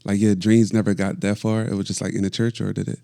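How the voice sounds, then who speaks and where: Woman co-host, very low dark voice, quick, enthusiastic, dry room. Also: little pitch movement, creaky, dry recording. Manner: enthusiastic, quick reactions and questions. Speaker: podcast co-host. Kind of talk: casual interview chat. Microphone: boom mic on a stand.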